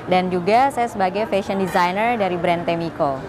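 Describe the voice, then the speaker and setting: A woman's voice: fairly low pitch, normal speed. 30 yr old woman talking things through in an interview, noisy recording. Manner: thoughtful, searching for words. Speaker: interviewee, magazine editor. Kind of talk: personal testimony interview.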